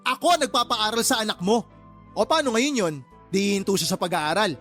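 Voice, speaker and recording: A Filipino actress playing an angry, reproachful younger sister in a radio drama, scolding and sarcastic. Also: female voice, mid-range voice, animated, fairly quick, fairly bright tone, faint music behind, close to an everyday voice. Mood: exasperated, accusing. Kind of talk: Tagalog radio drama acting.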